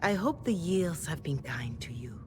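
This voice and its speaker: A woman's voice: deep voice, big pitch swings, measured pace. An actress playing a dignified, courteous clergywoman in a game cutscene, with a formal and gracious way of speaking. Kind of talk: video game cutscene drama. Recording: noticeable ambient noise behind.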